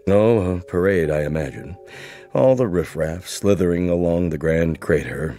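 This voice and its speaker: A man's voice: sits low, normal speed, warm tone, soft background music. An actor playing a smug, genteel alien escort of a king, dry and mannered in a radio drama.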